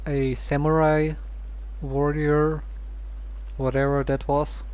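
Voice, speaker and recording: A man streaming a game, commenting casually in a halting way and stumbling over words. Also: a little high, little pitch movement, muffled recording.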